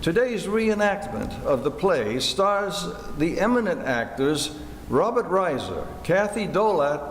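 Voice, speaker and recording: A man narrates a radio play from a script in a lively, rising and falling voice pitched on the high side. The hall echoes around him and there is some background noise. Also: measured pace. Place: indoor theater hall. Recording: noisy, echoey hall recording.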